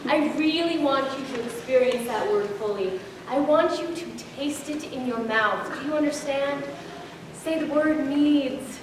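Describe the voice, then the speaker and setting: Menacing, fussy teacher played by a teenage girl, very high voice, stage comedy, recorded in an echoey room from far off. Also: unhurried. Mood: overbearing. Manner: emphatic, bossy, theatrical. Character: menacing, fussy second-grade teacher, overbearing and pedantic. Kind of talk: school readers theater comedy performance. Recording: echoey room, distant mic, faint noise.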